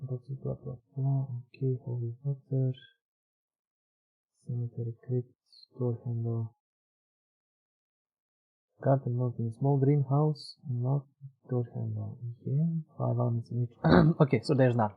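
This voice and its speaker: A man's voice: medium pitch, steady tone, unhurried, gravelly, pauses often, slightly rough. A man giving casual live gameplay commentary, rambling and thinking aloud. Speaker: game streamer. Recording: slightly dull streaming audio with music.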